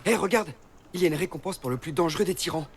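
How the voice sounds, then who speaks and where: A man playing an excitable, fretful young recruit in a French-dubbed animated film, exclaiming at his friend. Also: high voice, rapid-fire, creaky, a little hiss or hum. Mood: excited.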